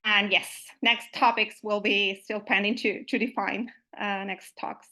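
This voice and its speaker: A woman's voice: medium pitch, little pitch movement, a little slow, sharp tone. A woman from Uruguay, opening an online meetup as its host, friendly and full of "uh" and "I mean" fillers, over a video call microphone.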